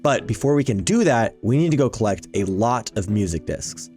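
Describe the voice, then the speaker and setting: A man's voice: fairly low pitch, animated, normal speed, dark tone. A man giving casual, enthusiastic gameplay commentary, chatting and rambling as he hosts. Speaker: video game YouTuber, host. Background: background music.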